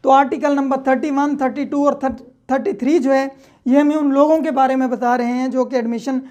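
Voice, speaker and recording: A woman's voice: high-pitched, flat delivery, fairly quick, darkish tone. A woman with a Pakistani accent giving an explanatory law lecture in a conversational way, with frequent check-in tags. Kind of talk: explanatory lecture with worked examples.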